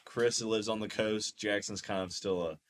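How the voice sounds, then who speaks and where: A man hosting a podcast interview, asking questions in a relaxed, loose way. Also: fairly low pitch, moderate pace. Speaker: podcast host.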